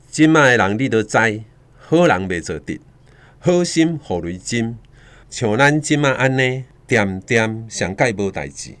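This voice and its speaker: An actor playing an anxious, self-protective doctor and father in a radio drama, exclaiming and arguing in a Taiwanese Hokkien accent. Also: fairly low pitch.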